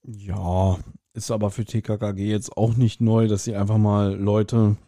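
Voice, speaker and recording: A man with a mellow, relaxed voice hosts a casual review podcast. He talks colloquially, with a joking, exaggerating streak. The recording is close and dry. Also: lowish voice, unhurried, no echo. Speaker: podcast host. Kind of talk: casual chat reviewing an audio drama.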